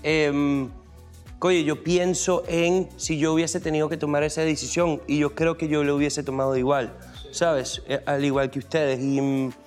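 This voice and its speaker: Young man, reflective and hesitant, fairly high voice, soft music behind. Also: flat delivery, normal speed. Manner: thoughtful, hesitant, many ums. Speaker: son, guest. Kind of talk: family podcast conversation.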